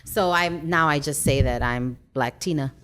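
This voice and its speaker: A woman from Chicago, a theater director, telling her story as a guest in a relaxed interview, acting out quoted voices. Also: low-pitched, moderate pace, a little hiss or hum. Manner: animated storyteller, acts out quotes. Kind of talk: casual interview chat.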